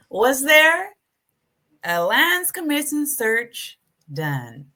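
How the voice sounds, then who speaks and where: Female host, higher register, swooping intonation, conversational probing questions. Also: huge pitch swings, drawn-out words, sharp tone. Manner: probing questions with short affirming interjections. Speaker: interview host. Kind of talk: conversational interviewing.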